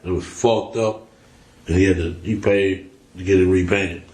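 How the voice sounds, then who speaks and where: A man's voice: sits low, fairly even intonation, moderate pace, darkish tone. A man being questioned by police, casually recounting memories in profane street slang on a roomy, noisy interview recording. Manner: profane, slangy storytelling, acting out quoted exchanges.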